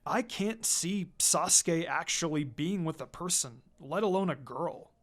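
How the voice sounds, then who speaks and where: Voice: medium pitch, a little slow, close to an everyday voice. A reader voicing a laid-back, skeptical male ninja mentor in a laconic, murmuring manner.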